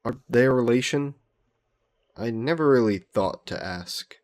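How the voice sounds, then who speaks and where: A man playing an old aristocratic vampire in a romantic audio roleplay, speaking in formal, genteel, measured phrases. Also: mid-range voice, lively intonation, darkish tone, lightly acted. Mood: polite, reflective. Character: ancient aristocratic male vampire, courtly, protective, gently teasing.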